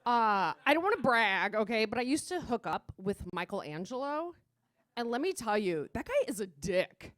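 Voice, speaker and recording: A woman's voice: a little high, very animated, normal speed, a bit bright, dry recording. A woman playing a gossipy Renaissance-era lady doing a sarcastic, conversational stand-up routine peppered with "like". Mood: gossipy, indignant. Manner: conversational valley-girl sarcasm, full of "like".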